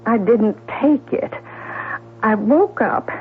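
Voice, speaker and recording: A woman's voice: a little high, slow. An actress playing a frightened, self-doubting woman in a radio drama, hesitating, stammering and repeating herself. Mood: frightened, shaken. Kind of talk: 1970s radio drama acting. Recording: noisy, telephone-like old radio broadcast, hum.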